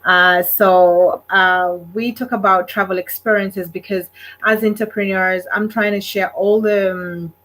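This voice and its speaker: A woman's voice: mid-range voice, steady tone, unhurried, fairly bright tone, poor audio. A Kenyan woman hosting a casual livestream, talking with many fillers and hesitations and speaking straight to her viewers. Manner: addresses live viewers directly. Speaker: livestream host. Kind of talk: casual solo livestream talk.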